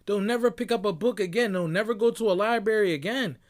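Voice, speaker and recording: A young man from Barbados with a notably high voice delivers a motivational monologue. He talks in an emphatic, preachy way, tagging his points with "right?" and "bruh" as he drives them home. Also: quick pace. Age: young adult. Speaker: motivational speaker. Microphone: audio clip recording.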